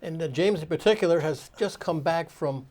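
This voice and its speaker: A man's voice: a little high, normal speed, a little mellow. A man hosting a TV talk show, friendly and chatty, prompting his guest often. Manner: friendly, frequent backchannel "mm-hmm". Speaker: TV show host. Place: TV studio.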